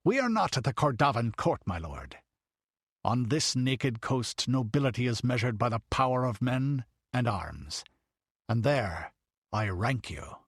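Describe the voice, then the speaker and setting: A man's voice: medium pitch, sing-song, unhurried, breathy edge, no echo, studio quality, heavily acted. A man as a growling, ruthless buccaneer. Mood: menacing. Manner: growling, blunt. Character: ruthless blunt buccaneer captain, menacing man.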